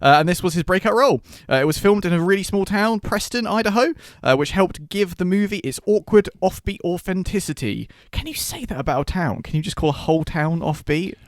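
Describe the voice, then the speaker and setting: A man's voice: sits high, animated, a little fast, hardly any pauses, no room sound, studio quality. A young man, co-hosting a film podcast in a casual, chatty way. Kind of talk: casual chat.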